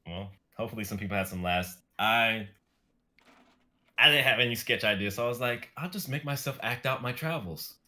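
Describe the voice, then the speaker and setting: A man's voice: medium pitch, a little fast, pushed and bright. A young adult man who writes and reads sketches, chatting casually with his group. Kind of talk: casual chat.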